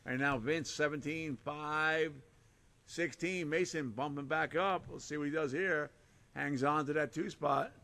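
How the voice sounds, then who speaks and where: A man calling a live RC car race with a hoarse, fading voice, rattling off names and lap times in short bursts. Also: a little high, measured pace, pushed and bright. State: hoarse, losing his voice. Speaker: race announcer.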